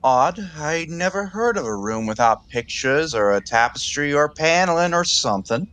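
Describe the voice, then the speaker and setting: A man's voice: fairly high pitch, animated, moderate pace, a bit bright, clean tone. A man acting an earnest, naive young suitor in a 1920s stage comedy, courteous and genial. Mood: puzzled, coaxing.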